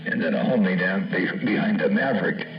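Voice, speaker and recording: A man making casual CB radio chat in a folksy, halting way, full of operator slang and sign-offs. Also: sits low, lively intonation, distant mic, lo-fi sound. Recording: roomy, band-limited CB radio audio, loud music.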